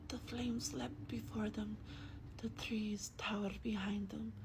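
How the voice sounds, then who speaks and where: A woman with a breathy whisper reads a story aloud in a slow, hushed, gentle ASMR style, as a vampire host close to the microphone. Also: medium pitch, flat delivery. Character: gentle female vampire host reading a bedtime book. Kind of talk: soft-spoken ASMR roleplay and book reading. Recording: faint background noise.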